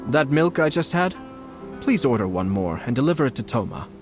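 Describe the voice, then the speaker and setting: An actor playing a courteous, measured noble lord in a video game. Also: male voice, medium pitch, big pitch swings, lightly acted. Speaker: clan lord. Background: game music.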